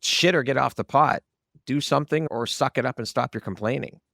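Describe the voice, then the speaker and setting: A man's voice: mid-range voice, brisk, creaky, pushed and bright, no room sound, studio quality. A man hosting a conversational interview podcast, talking in a candid, informal way. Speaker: podcast host.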